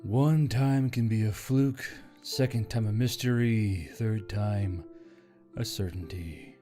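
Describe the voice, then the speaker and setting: A man voicing a dry, measured sentient magical object in a fantasy story. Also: on the low side, mellow. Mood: ominous. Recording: background music under the voice.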